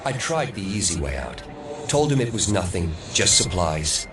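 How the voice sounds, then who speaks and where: An actor playing a haunted, defiant man recounting his past in deliberate, dramatic audio drama narration. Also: sits low, big pitch swings. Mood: grim recollection. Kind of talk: dramatic first-person storytelling in audio drama. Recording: distant mic, clear background noise.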